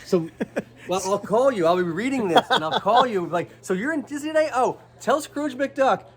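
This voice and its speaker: A man's voice: sits high, animated, normal speed, husky. A man in casual banter with friends, talking in a playful, joking, punning way.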